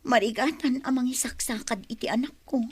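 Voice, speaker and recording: A woman's voice: a little high, a little fast, slightly rough. A woman acting a long-suffering, emotional young mother and wife in a radio drama. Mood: regretful, sad.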